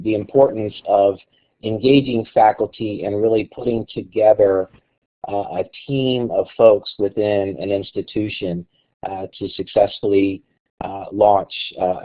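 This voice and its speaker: A man presenting as a guest speaker in an online meeting, a little raspy from a cold, talking haltingly. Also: lowish voice. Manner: halting, with fillers. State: has a cold, slightly raspy. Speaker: guest presenter, college director. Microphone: web conference audio. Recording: tinny phone-like line.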